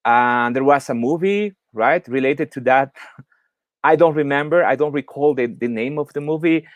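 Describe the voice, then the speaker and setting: A man's voice: medium pitch, normal speed, no room sound. Man coaching English learners, dry sound. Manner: encouraging, often checks with "okay?" and "right?". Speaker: English exam coach, workshop presenter. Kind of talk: informal online lesson. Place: online video call.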